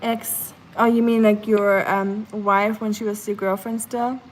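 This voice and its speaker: A woman's voice: mid-range voice, measured pace, slight background noise, rough recording. A young woman live streaming from her kitchen, half asleep, chatting casually with lots of fillers and reading her chat aloud. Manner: chatty, many fillers, sleepy and relaxed. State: sleepy. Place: home kitchen.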